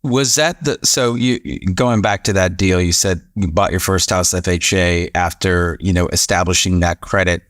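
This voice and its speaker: A man hosting a podcast, asking his guest questions in a friendly, encouraging way with quick replies. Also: low voice, fairly even intonation, fairly bright tone, dry recording. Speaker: podcast host. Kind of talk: interviewing a guest.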